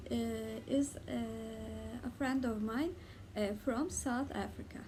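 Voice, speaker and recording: High-voiced Turkish woman, halting and gravelly. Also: a little mellow, slight background noise. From Turkey. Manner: hesitant, many "uh" pauses between words. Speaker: book vlogger. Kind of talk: casual tag video talk.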